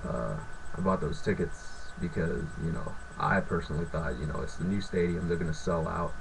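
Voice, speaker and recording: Low gravelly young guy, sick and raspy, rambling cussing rant, noisy recording. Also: moderate pace. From Fresno, California. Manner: profane, rambling, lots of filler. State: sick with sore throat, raspy. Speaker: sports fan vlogger. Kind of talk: casual ranting vlog.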